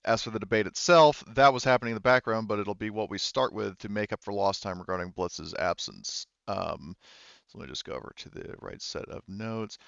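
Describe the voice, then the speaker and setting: A man narrating a tabletop game casually as game master, in a halting, conversational way. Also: fairly low pitch, gravelly, fairly bright tone, no room sound, muffled recording.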